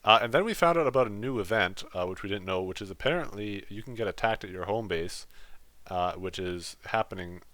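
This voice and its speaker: Male gaming host, low and monotone, bright and dry, rambling casual voice-over. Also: fairly quick, no room sound. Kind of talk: let's play commentary voice-over.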